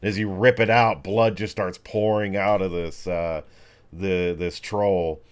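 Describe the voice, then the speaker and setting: Low-voiced man narrating a tabletop game. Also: steady tone, unhurried, smooth. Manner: casual, slangy, vivid action descriptions. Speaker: game master.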